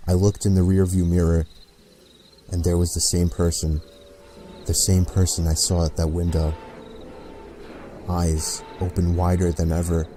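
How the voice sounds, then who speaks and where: A man reading a scripted first-person horror story in a measured, deliberate way, with pauses between phrases. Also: low-pitched, background noise. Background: background music.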